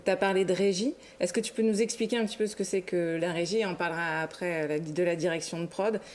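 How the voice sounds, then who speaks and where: A woman's voice: fairly low pitch, fairly even intonation, fast talker, a little mellow. A woman hosting a podcast, interviewing in a casual conversational way. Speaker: podcast host.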